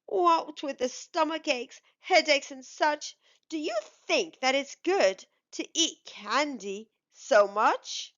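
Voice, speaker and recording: A woman's voice: high voice, slow, a bit bright, highs cut off. A woman voicing a cautious, worried male beaver friend in a children's storybook, earnest and questioning.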